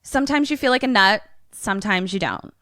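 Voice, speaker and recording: A woman's voice: medium pitch, animated, fairly quick, pushed and bright, no echo, clean studio sound. A woman co-hosting a podcast, recapping a film in loose casual chat, swearing freely and saying "like" constantly. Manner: loose and profane, heavy on "like". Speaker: podcast co-host.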